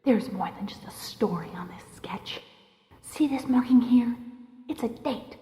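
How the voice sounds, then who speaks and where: A woman's voice: a little high, measured pace, warm tone, natural voice. Woman acting a stern, commanding mother, roomy echo. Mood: cold, hushed anger. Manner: stern, bossy, insistent. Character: commanding, bitter mother, scholarly and accusing. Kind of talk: audio drama acting.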